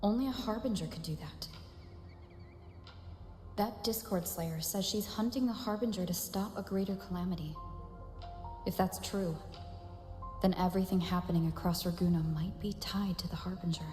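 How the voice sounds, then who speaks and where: A woman plays a determined young wanderer in a fantasy game. Her voice sits on the low side, earnest and pondering. Music is clearly audible underneath. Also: normal speed, long pauses, a little mellow, natural voice. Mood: puzzled, brooding. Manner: serious, pondering. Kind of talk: dramatic game narration and dialogue.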